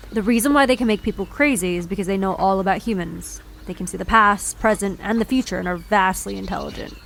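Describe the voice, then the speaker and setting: A woman's voice: medium pitch, gravelly, a little hiss or hum. A woman playing a blunt, weary half-human park guardian in an audio drama.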